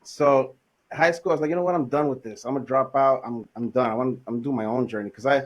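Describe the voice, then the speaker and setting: A man in his thirties from Los Angeles, a podcast guest telling his story in a relaxed, casual way with plenty of fillers. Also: medium pitch, fast talker, muffled recording. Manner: relaxed, laid-back, frequent fillers. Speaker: podcast guest, business owner.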